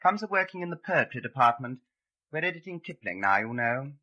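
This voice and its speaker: An actor playing a clever, dryly ironic colleague in an old-time radio drama, urbane and insinuating, on a dull vintage recording. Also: male voice, mid-range voice, very animated, brisk, bright, forceful tone.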